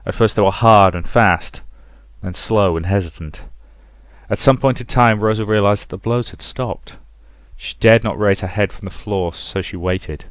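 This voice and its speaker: A man's voice: lowish voice, normal speed, no echo. A man hosting a let's play, narrating a visual novel as a dramatic story. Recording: music behind the voice.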